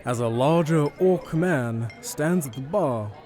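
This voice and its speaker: A man's voice: a little high, animated, slow, a little mellow, faint music behind. A man giving scripted third-person narration as the storyteller of an audio drama.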